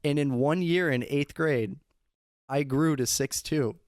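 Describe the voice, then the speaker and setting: A man's voice: fairly high pitch, darkish tone, no echo, clean studio sound. A young man co-hosting a podcast, blunt and crude in casual chat with friends. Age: young adult. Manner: blunt, crude, quick jokes. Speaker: podcast co-host.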